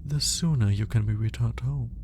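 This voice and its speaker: A man playing a clinical, curious alien scientist in a soft, intimate ASMR roleplay, measured but grudgingly fascinated. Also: medium pitch, a little hiss or hum, natural voice. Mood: clinical, detached. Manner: clinical, measured, hesitant when flustered.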